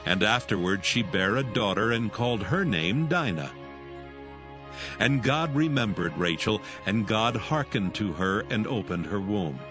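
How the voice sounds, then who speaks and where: Lowish, expressive male scripture narrator. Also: unhurried, music in the background.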